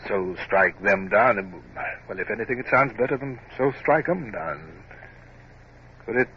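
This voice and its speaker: An actor playing a reflective, scrupulous naval officer, narrating formally and in measured phrases in a radio drama. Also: male voice, on the low side, expressive. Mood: puzzled, intent. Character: reflective, scrupulous young male Royal Navy officer narrating memoirs. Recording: dull, noisy vintage radio drama recording.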